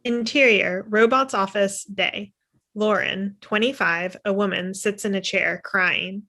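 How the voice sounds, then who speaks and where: A middle-aged woman narrates a script's stage directions. Her delivery is plain, and her voice sits at a middle pitch. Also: steady tone, measured pace, sharp tone. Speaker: reader of screen directions. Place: indoor room at home, video call. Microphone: computer mic on video call.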